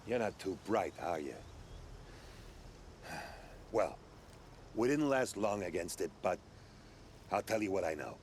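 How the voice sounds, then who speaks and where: Grumbling, low-voiced sardonic mercenary. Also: male voice, lively intonation, measured pace, pauses often, a little mellow, a little hiss or hum. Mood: grudging. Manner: sardonic, colourful folksy grumbling. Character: grumbling, sarcastic mercenary crew leader, bitter survivor. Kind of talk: video game cutscene dialogue acting.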